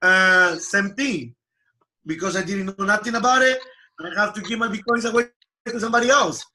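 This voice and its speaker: A man from Peru with a Spanish accent, speaking non-native English as a company founder telling his story in an interview, with animated, broken phrasing. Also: high voice, normal speed. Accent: Peruvian Spanish. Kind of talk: casual interview answers.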